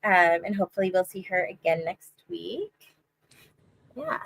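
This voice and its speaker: A woman's voice: a little slow, gravelly. A woman co-hosting a reading stream in casual chat, talking chattily with lots of fillers and a slightly stuffy nose. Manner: chatty, lots of "like" and "um", lively rises in pitch. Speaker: co-host of a reading sprint stream.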